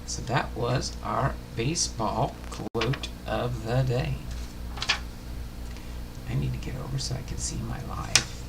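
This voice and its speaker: A man hosting a casual livestream, chatting and reading aloud in a halting, unpolished way. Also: medium pitch, fairly even intonation. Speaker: livestream host. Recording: clearly noisy room recording.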